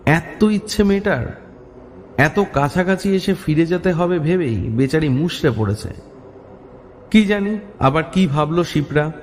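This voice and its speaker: High-pitched male horror narrator. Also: expressive, unhurried, slight background noise. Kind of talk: dramatic horror story narration. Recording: long reverb, music underneath. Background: music.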